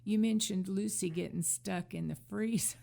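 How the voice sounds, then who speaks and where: A woman co-hosting a casual commentary on classic TV comedy, speaking in a measured, agreeable manner. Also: medium pitch, gravelly, warm tone. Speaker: podcast co-host.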